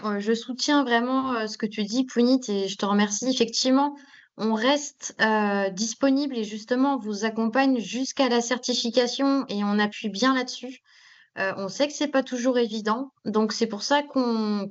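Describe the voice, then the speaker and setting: Reassuring woman on a muffled call. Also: fairly high pitch. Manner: reassuring, emphatic. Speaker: training organizer. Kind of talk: supportive remarks in a webinar.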